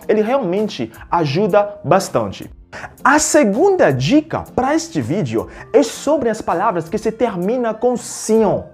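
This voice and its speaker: A man's voice: high-pitched, a little mellow, breathy edge. A man who grew up speaking teaches a language lesson in Portuguese, explaining step by step and checking in with the learners. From a French-speaking country. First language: French. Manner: explanatory, keeps checking in with "beleza?" and rephrasing with "ou seja". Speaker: French teacher.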